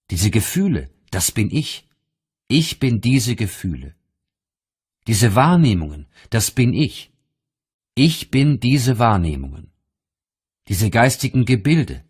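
Lively-pitched, slightly husky male audiobook narrator. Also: slow, pauses often. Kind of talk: measured audiobook reading of spiritual teachings.

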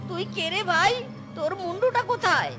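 A performer voicing a henpecked male cartoon fox, whiny and cheeky, exclaiming and complaining in a squeaky voice. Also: lively intonation, a little fast. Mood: startled, bold. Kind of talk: animated cartoon dialogue. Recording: music behind the voice.

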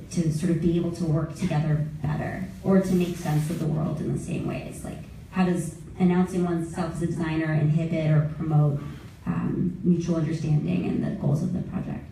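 A woman's voice: sits low, mellow, a little hiss or hum. A woman moderating a panel, asking a long question in a thoughtful, searching way with fillers. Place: conference room panel, large hall. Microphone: table mic, recorded from the audience. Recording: echoey hall from far off.

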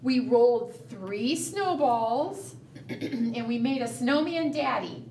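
Middle-aged woman, children's storytime reader, high voice, sing-song and coaxing, roomy distant recording. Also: animated, takes it slowly, mellow. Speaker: children's librarian leading preschool storytime. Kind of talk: storytime read-aloud and chat addressed to small children. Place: small indoor room, seated at a table. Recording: roomy, distant mic, faint room noise.

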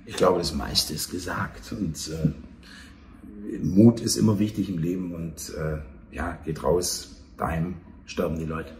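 A man's voice: low voice, fairly even intonation, slow, darkish tone. A middle-aged man talking casually as a guest in an interview. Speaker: theatre host and interview guest. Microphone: shared handheld interview microphone. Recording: echoey room, faint background noise.

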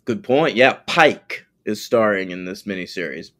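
A man's voice: on the low side, lively intonation, normal speed, fairly bright tone. A man in his forties co-hosting a casual film podcast, wry and rambling, with casual swearing. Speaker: podcast co-host.

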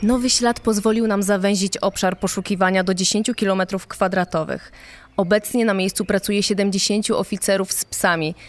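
A confident female deputy sheriff, acted by a woman gives an official report in a true-crime podcast. Her voice sits at a middle pitch. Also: fairly even intonation, a little fast, natural voice. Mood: determined, official.

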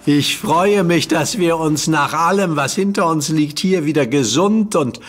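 An actor playing an earnest young superhero who leads the group in an audio drama. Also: male voice, a little high, a little slow, soft background music.

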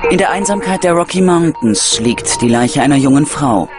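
Animated, dramatic male true-crime narrator. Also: fairly high pitch, normal speed. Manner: dramatic, suspenseful. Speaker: documentary narrator. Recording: prominent music under the voice. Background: music.

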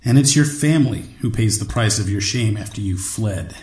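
An actor playing an earnest, resolute man of action and diplomacy in an audio drama, measured and dryly humorous. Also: lowish voice, lively intonation, a little mellow, natural voice. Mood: grave, resolute. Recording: echoey space with faint noise.

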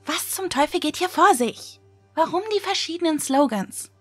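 An actress playing a wary, indignant young female survival contestant in a horror audio drama, with a breathy voice. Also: very high-pitched, animated, moderate pace, no echo, faint music behind. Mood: agitated, indignant.

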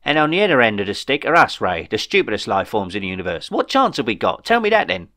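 A man's voice: mid-range voice, wildly swooping pitch, fast talker, a bit bright, no room sound, clean studio sound. A man voicing a weary, sarcastic, colloquial reluctant hero in a storybook. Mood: weary, exasperated. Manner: colloquial, exasperated. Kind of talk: storybook character dialogue.

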